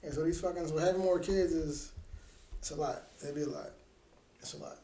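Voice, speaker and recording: Laid-back joking man in his thirties, mellow voice. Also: fairly high pitch, steady tone, fairly quick. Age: 30s. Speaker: podcast co-host. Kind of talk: casual chat. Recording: echoey room with faint ambient noise.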